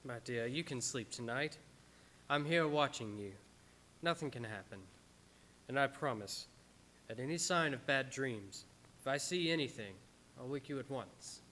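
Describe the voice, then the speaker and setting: An actor playing an earnest, devoted doctor in a radio drama, who narrates and speaks in a solemn, dramatic way. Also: male voice, mid-range voice, expressive, unhurried, pushed and bright, a little hiss or hum. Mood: tender, reassuring. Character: earnest, devoted, anguished male doctor narrating his journal.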